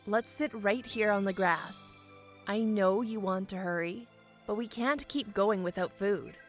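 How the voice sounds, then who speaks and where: A woman's voice: mid-range voice, measured pace, faint music behind, close to an everyday voice. A woman playing a weary, stubborn airship pilot and mechanic in a fantasy audio drama, plain-spoken and insistent.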